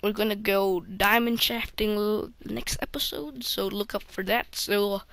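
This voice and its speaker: A woman's voice: medium pitch, steady tone, measured pace, fairly bright tone, dry recording. A woman hosting a game playthrough, rambling and often dropping to a mumble. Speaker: let's play host. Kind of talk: gameplay commentary.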